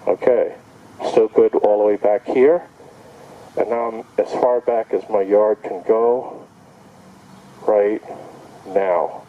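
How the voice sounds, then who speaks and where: A man's voice: on the low side, fairly even intonation, takes it slowly, pauses often, narrow, tinny audio, slight background noise. A man giving a casual hands-on product demonstration outdoors, thinking aloud in short step-by-step remarks. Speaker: product reviewer. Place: outdoor backyard.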